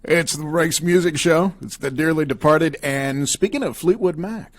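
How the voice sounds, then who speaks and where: A man's voice: a little high, moderate pace, background noise. A man hosting a music show, interviewing guests and introducing songs. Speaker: radio show host and interviewer.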